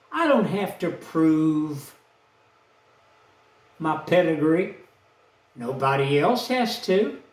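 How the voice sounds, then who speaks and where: A man, telling a rambling personal story in a slow, aggrieved, folksy way. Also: high voice, distant mic. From Texas, USA. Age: 70s. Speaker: vlogger. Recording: echoey room, off-mic.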